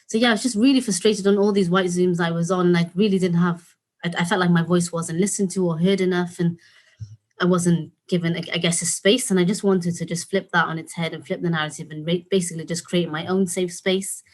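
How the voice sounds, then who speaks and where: A woman from talks earnestly over a video call, her voice sitting a little low. She hosts in a casual, unscripted way, circling back and restarting her sentences. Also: fairly even intonation, a little fast, darkish tone. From Wales. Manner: earnest, with frequent fillers and restarts. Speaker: host. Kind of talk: casual conversational introduction.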